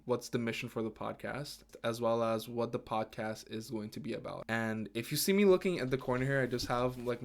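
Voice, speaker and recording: A man in his twenties who grew up in Guyana, hosting his first solo podcast episode in an earnest, halting way, with restarts and fillers. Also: mid-range voice. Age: 20s. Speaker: podcast host. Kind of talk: casual solo podcast talk.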